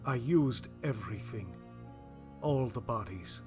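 A man's voice: mid-range voice, lively intonation, slow, over music. A man voicing a stubborn, guilt-ridden undertaker in a dramatic horror story, talking in blunt, short phrases. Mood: guilty, desperate. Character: stubborn, appalled male undertaker with a guilty secret.

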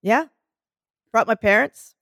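A woman's voice: on the high side, takes it slowly, creaky, fairly bright tone, no echo, studio quality. A woman co-hosting a podcast in casual chat, her voice smoky and scratchy from a sore throat, telling stories with lots of restarts. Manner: chatty, rambling storyteller with many restarts. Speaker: podcast co-host.

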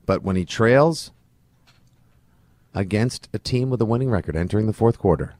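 A man's voice: on the low side, moderate pace, darkish tone, dry recording, studio quality. A man co-hosting a sports podcast, chatting casually about football in a colloquial, emphatic way. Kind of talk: casual football analysis chat.

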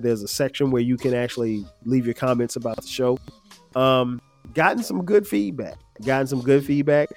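A man in his fifties, his voice slightly nasal and stuffed-up, talks through a solo podcast in a loose, meandering way, full of fillers and restarts. His voice sits at an ordinary middle pitch. Faint music plays quietly underneath. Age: 50s. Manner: relaxed, rambling, frequent fillers and restarts. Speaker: podcast host. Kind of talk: casual solo podcast reflection.

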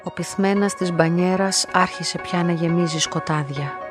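A woman's voice: on the low side, fairly even intonation, natural voice. Introspective young woman narrating, music behind acted. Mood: quietly observant. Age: young adult. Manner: measured, introspective. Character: lonely, submissive, quietly observant young woman narrator. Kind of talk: literary first-person radio drama narration.

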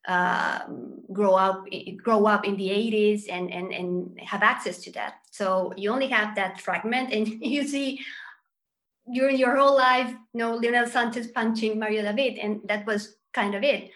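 A woman from Chile, a guest journalist, chatting casually with fillers and lively turns. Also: medium pitch, normal speed. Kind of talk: casual chat.

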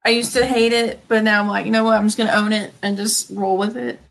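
A woman with a Southern US accent co-hosting a podcast, playful and quick, dropping in silly sounds. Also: mid-range voice, little pitch movement, gravelly, rough recording. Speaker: podcast co-host. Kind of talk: casual podcast chat.

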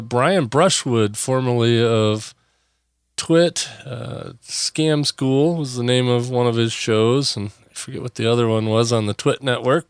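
A man hosting a podcast in a casual solo chat, a bit hoarse from a voice that is still coming back, rambling with lots of pauses and restarts. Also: mid-range voice, no room sound, clean studio sound. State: hoarse, recovering from lost voice. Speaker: podcast host.

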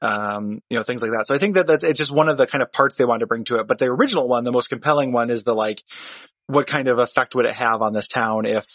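A man's voice: mid-range voice, fast talker, fairly bright tone, no room sound. A man with an American Midwestern accent, a podcast guest chatting casually and rambling with lots of restarts. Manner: rambling, hesitant, many restarts.

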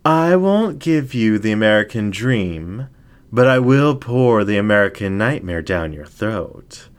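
Man acting domineering incubus fox showman, low-pitched, very slow, theatrical, seductive, grandiose storybook villain. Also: lively intonation. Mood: menacingly seductive.

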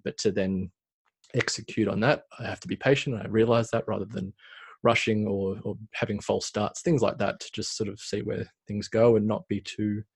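Relaxed rambling man in his thirties. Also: on the low side, breathy edge. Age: 30s. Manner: rambling, reflective, many fillers. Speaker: small business owner. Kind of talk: casual interview chat.

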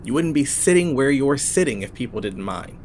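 A man acting an easygoing small-town dad in an audio drama. His pitch is average and his manner is paternal, fond and lightly teasing, with a soft rumble in the background. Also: animated, fairly quick, lightly acted. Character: easygoing, affectionate, protective small-town father figure.

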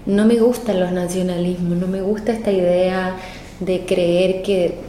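A Chilean woman with a Chilean accent speaks in a low, mellow voice, pausing often and repeating words as she answers. The room is echoey, with faint ambient noise behind her. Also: steady tone. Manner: hesitant, many fillers and repeats. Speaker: guest singer. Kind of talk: casual interview answers. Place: hotel room. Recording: roomy echo with faint ambience.

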